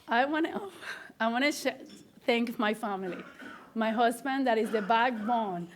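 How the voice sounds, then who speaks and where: A woman's voice: fairly high pitch, moderate pace, slight background noise. A middle-aged woman with a strong Puerto Rican accent, giving an earnest, grateful acceptance speech as an honored teacher, often restarting her phrases. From Puerto Rico.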